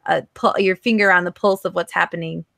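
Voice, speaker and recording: A woman's voice: lowish voice, steady tone, fairly quick, gravelly, a bit bright, no room sound, clean studio sound. An American woman hosting a podcast, asking questions in an informal, chatty way. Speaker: podcast host. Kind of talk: casual interview chat.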